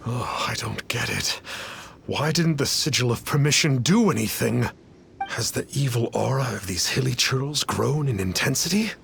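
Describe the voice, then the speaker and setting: A pompous gravelly fake exorcist. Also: male voice, mid-range voice, expressive, normal speed, a bit bright, slight background noise. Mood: baffled. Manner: pompous, speaks of himself as "one". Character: boastful fake male exorcist, pompous coward. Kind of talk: game cutscene dialogue. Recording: game audio with music behind.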